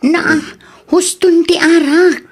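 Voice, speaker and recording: A woman's voice: very high voice, steady tone, slow. A woman playing a frank, teasing young confidante in a comedy radio drama. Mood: frank, advising.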